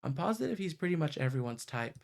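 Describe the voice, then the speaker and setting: Creaky, higher-voiced male game streamer. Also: very animated, quick pace. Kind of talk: reading visual novel narration aloud, casual asides.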